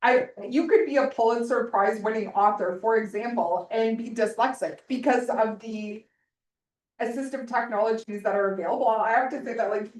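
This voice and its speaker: A woman's voice: fairly high pitch, moderate pace, a little mellow. A middle-aged woman panelist answering audience questions in a chatty, self-interrupting way with frequent laughs. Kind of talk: panel discussion Q&A. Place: conference hall. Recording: roomy, distant room mic.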